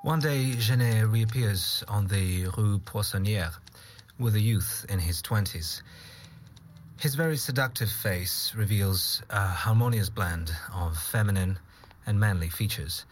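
A man's voice: fairly low pitch, measured pace, a little hiss or hum. A man reads aloud from a written memoir in a literary style. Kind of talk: reading a written memoir excerpt.

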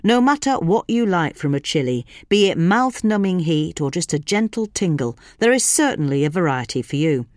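A woman reading a scripted gardening article aloud in a measured, informative way. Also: fairly low pitch, expressive, no room sound, studio quality. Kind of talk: scripted narration, read aloud.

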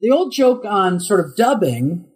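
Husky-voiced chatty middle-aged man. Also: sing-song, a little slow. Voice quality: high tenor voice. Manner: chatty anecdotes, laughs often, acts out quotes. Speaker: guest voice actor. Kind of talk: casual interview storytelling.

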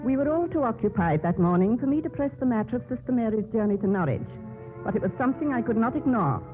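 A woman's voice: a little high, lively intonation, over music, lightly acted. A woman playing a stern, formal convent Reverend Mother in 1950s radio drama style. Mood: grave. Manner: formal, measured, reproving. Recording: tinny old radio broadcast.

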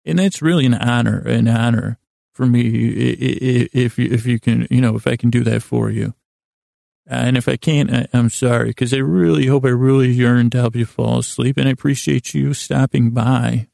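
A man's voice: mid-range voice, fairly even intonation, normal speed, vocal fry, dark tone, no room sound, studio quality. A man hosting a bedtime podcast in a rambling, soothing, self-deprecating way, stammering and repeating words as he goes. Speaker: podcast host.

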